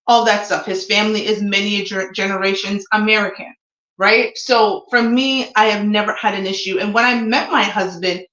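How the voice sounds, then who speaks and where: A woman's voice: medium pitch, a bit bright, roomy sound, lo-fi sound. A woman in her forties, born in Jamaica and raised in Toronto, hosting a frank solo talk show with plenty of ums. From Jamaica, raised in Toronto, Canada. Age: 40s. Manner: frank, opinionated, with frequent ums. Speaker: show host. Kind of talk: solo opinion livestream talk.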